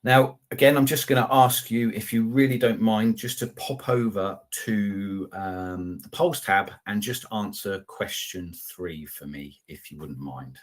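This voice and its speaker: A man's voice: on the low side, unhurried, hardly any pauses. A middle-aged man presenting a product webinar over a video call, talking conversationally with polite asides, fillers and restarts. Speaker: customer success manager presenting a webinar. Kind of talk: live product webinar with slides and screen share. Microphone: video call webcam.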